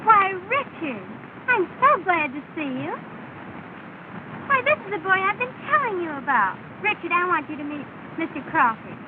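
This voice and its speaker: A tinny, hissy early talkie soundtrack carries a poised young society lady. Her voice is high and her delivery is kindly and lightly teasing, in 1930s screen style. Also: big pitch swings, normal speed. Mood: pleased, polite. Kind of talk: 1930s film acting. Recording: tinny, noisy 1930 film soundtrack.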